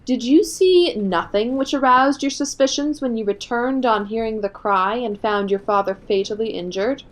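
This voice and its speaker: A woman's voice: a little high, normal speed, natural voice. A woman playing a probing inquest juror with formal questions in an amateur audio drama.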